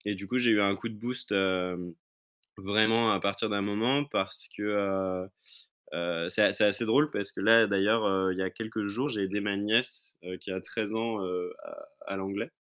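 A man's voice: lowish voice, fairly even intonation, moderate pace, a bit bright, clean tone. A young man chatting as a guest over a remote call, rambling with many fillers and restarts. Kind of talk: casual remote chat.

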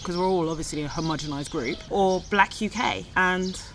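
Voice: mid-range voice, moderate pace. Self-mocking Londoner chatting casually. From London, England. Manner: self-deprecating, laughs. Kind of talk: casual chat. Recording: noisy background.

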